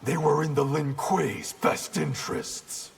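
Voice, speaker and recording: An actor playing a proud, bitter rogue ninja clan leader in a video game, gravelly and defiant, with cold deliberation. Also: male voice, on the high side, level tone, slow, a little hiss or hum, heavily acted. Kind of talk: video game dramatic dialogue.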